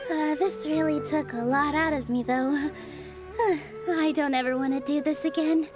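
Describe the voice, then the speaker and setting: A woman playing a gentle, loyal young dancer in a game cutscene, with a sweet, sincere way of talking. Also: high voice, fairly even intonation, measured pace, music in the background. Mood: drained.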